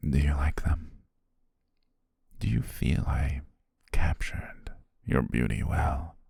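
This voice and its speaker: A man playing an obsessive, menacing photographer in love, speaking slowly and intimately in an ASMR roleplay with a husky, breathy voice. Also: no echo, clean studio sound, full character voice. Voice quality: very deep, husky, creaky, breathy. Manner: slow, hushed, lingering pauses. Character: obsessive, menacing yandere male photographer stalking his beloved.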